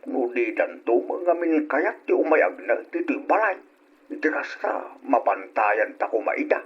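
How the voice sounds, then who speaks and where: A man playing a caring, worried old grandfather in a radio drama, with a husky voice. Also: mid-range voice, sing-song, unhurried. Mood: mild concern. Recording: dull lo-fi radio drama recording.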